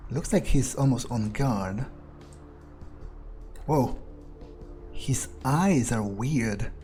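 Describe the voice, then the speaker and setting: Slow, mellow man musing in character. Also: mid-range voice. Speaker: role-playing game streamer, speaking in character. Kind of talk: in-character gameplay commentary, thinking aloud. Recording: faint noise and game ambience.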